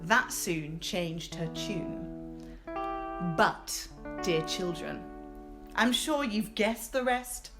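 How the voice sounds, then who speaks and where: A woman telling a rhyming children's story in a theatrical, expressive way. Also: fairly low pitch, very slow, long pauses. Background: guitar music.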